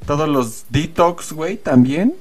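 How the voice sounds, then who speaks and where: A man's voice: fairly high pitch, lively intonation, a little slow, a little mellow. A man with a Mexican Spanish accent, co-hosting a casual chat, hesitant and filler-heavy, laughing easily. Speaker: co-host. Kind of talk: casual banter.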